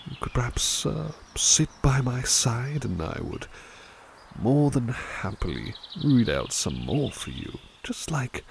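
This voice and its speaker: A man voicing a doting butler in a soft-spoken ASMR roleplay, talking gently and soothingly with hesitant restarts. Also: mid-range voice, vocal fry, a little hiss or hum.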